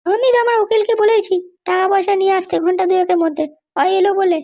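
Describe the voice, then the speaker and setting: Woman voicing a squeaky, haughty cartoon lady boss. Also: fast talker, darkish tone. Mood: vengeful. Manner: bossy, indignant, scolding. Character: haughty, threatening female political boss held in jail. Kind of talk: Bengali comedy cartoon dialogue.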